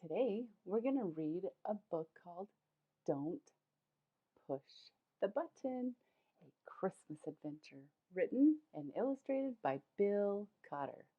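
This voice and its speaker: A woman teacher reading a picture book aloud to young children, addressing them directly in an expressive, emphatic way. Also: mid-range voice, a little slow, phone-quality sound. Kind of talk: animated children's storybook read-aloud.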